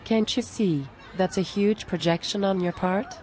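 A woman's voice: mid-range voice, a little slow, a little mellow, a little hiss or hum, close to an everyday voice. A woman playing an exasperated, plain-spoken friend who pleads earnestly and reproachfully in scripted cartoon dialogue. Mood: disappointed, resigned.

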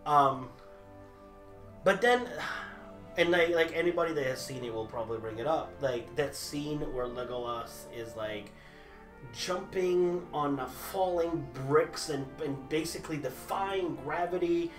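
Guy who grew up speaking italian, talks fast and waves his hands, echoey room full of movie stuff. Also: on the high side, soft background music. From grew up overseas, Italian at home. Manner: animated, gestures a lot, many restarts. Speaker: debater for the Harry Potter side. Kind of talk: casual debate chat. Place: small indoor room full of movie memorabilia.

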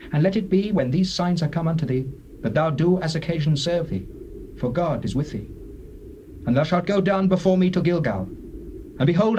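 A solemn male prophet, played by an actor declaims with command in a fairly high voice. His pitch rises and falls dramatically. The scripture drama plays from an old cassette with faint noise. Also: a little mellow, natural voice, poor audio. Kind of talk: dramatized scripture, theatrical stage acting.

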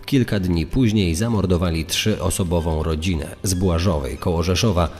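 A man's voice: sits low, moderate pace, darkish tone. A man reading scripted true-crime narration as a narrator. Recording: long hall reverb. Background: music.

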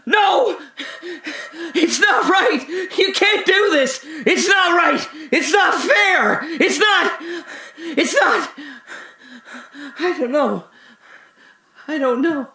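An actor playing a terrified, confused man trapped alone in darkness, talking to himself in breathy fragments in an audio drama. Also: very high-pitched, animated, extremely slow, long pauses, sharp tone, full character voice. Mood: screaming, desperate panic.

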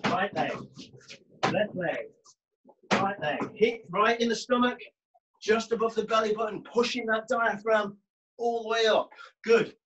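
A man's voice: high-pitched, a little slow, pauses often, fairly bright tone, dull audio. A man coaching an online karate class from a small brick-walled gym, calling out drills and cheering students on as he trains with them. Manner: barking encouragement and commands while training. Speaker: karate instructor.

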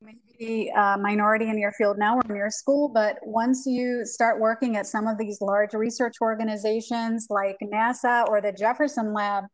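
A woman's voice: fairly high pitch, fairly even intonation, moderate pace, few pauses, low-quality recording. A woman moderating a video-call panel, upbeat as she introduces the next question.

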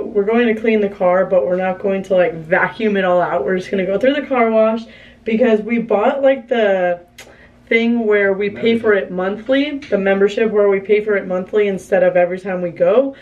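A woman's voice: mid-range voice, normal speed, darkish tone, far from the mic, dull audio. A tired young woman, a family vlogger, chatting casually and rambling to the camera from a car, her voice worn and fading. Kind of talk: casual vlog chat to camera.

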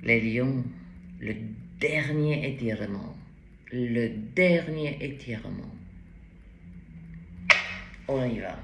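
A woman in her forties with a foreign accent, reading tarot cards to the camera in a halting, casual way with many restarts. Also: extremely low pitch, expressive, gravelly. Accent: foreign accent in French. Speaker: tarot card reader. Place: bright indoor room at a table. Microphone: camera in front at table height. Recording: roomy echo, faint background hiss.

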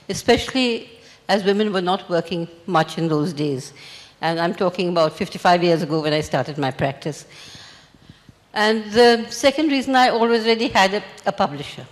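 A woman in her eighties from India, a memoir author speaking at a festival panel in a measured, reflective way, holding a handheld mic on a large stage. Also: on the low side, in a large hall. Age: 80s. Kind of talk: panel discussion.

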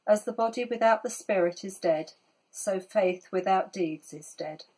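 A woman reading a Bible passage aloud at home in a steady, deliberate voice. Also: mid-range voice, husky, poor audio. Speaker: scripture reader.

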